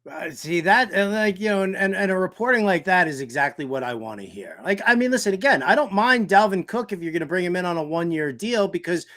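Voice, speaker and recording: American dude sports show host, pretty high voice, casual chatty fan talk. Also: male voice, expressive, quick pace, few pauses, a bit bright.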